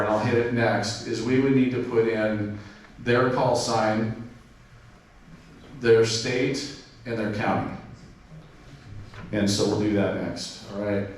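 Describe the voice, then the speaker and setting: A man giving an informal club presentation, explaining steps and spelling call signs in radio phonetics, with frequent fillers. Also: mid-range voice. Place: club meeting room. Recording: very echoey room, distant mic, faint noise.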